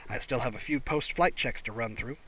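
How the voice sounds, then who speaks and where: A man's voice: medium pitch, brisk, slightly rough. A man voicing an exuberant, breezy female astronaut who is proud of her ship, in an audiobook. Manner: excitable, breezy. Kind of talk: audiobook character voice.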